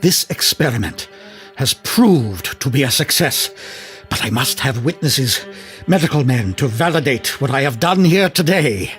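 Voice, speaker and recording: An actor playing a sinister, domineering mesmerist in an audio drama, speaking in a silky, slow, commanding theatrical way over music. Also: male voice, medium pitch, animated, fairly bright tone. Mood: triumphant.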